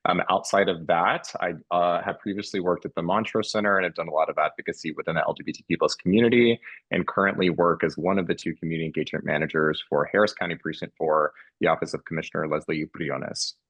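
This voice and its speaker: Fast-talking deep-voiced young man. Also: steady tone, a bit bright, slightly rough, no echo. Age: young adult. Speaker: advocacy group president. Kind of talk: self-introduction on a panel. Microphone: video call.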